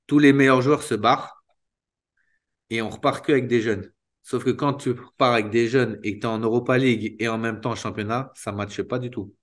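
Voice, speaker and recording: A man in his thirties, a former footballer, talking candidly in an interview over a video call, restarting sentences and filling pauses often. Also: mid-range voice, fairly even intonation. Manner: spontaneous, halting, many restarts and fillers. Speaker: retired footballer guest. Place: small room indoors. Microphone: video call webcam.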